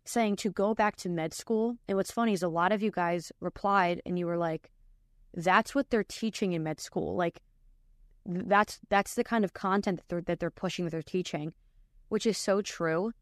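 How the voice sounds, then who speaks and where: Lady podcast host riffing on clips, lower voice, dry close sound, lots of "like". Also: moderate pace, dry recording. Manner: chatty, frequent "like" fillers and restarts. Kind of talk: casual reaction commentary.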